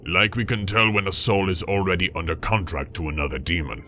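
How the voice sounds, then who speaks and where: A narrator voicing a gruff, hulking male demon henchman in an audiobook. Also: low-pitched, steady tone, brisk, pushed and bright, slight background noise, pitched below the performer's own voice. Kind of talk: audiobook character voice.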